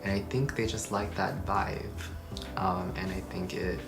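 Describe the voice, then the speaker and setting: A young man from China, talking in a casual interview, soft-spoken and hesitant with many pauses. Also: low-pitched, level tone, music in the background. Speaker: interview guest. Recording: echoey room.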